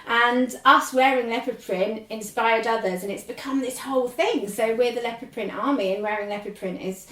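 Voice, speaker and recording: Squeaky-voiced woman, animated podcast guest. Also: normal speed, few pauses, echoey room, far from the mic, poor audio. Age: 40s. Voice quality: squeaky speaking voice. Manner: rambling, animated, laughs often. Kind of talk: casual chat.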